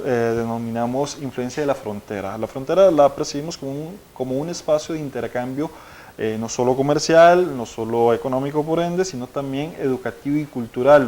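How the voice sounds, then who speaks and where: A man, a researcher explaining findings in a measured way, pausing often with "eh". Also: medium pitch, darkish tone, a little hiss or hum. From Costa Rica. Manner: measured, explanatory, frequent "eh" pauses. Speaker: researcher guest. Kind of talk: academic interview answer.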